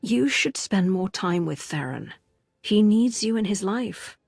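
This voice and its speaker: A woman's voice: mid-range voice, expressive, measured pace, gravelly, darkish tone, slightly rough, no room sound, studio quality, faint music behind, heavily acted. A woman playing a resolute, curt and commanding Sith hero in a video game cutscene. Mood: calm.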